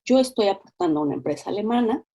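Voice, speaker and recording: A middle-aged woman with a Mexican accent, explaining things as an interview guest in an expressive, conversational way, acting out examples. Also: medium pitch, rapid-fire.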